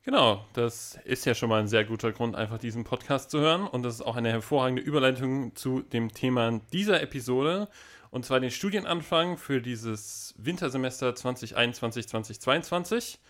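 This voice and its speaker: A man's voice: mid-range voice, brisk, a bit bright. Twenties male host, upbeat, reads a scripted podcast intro. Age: 20s.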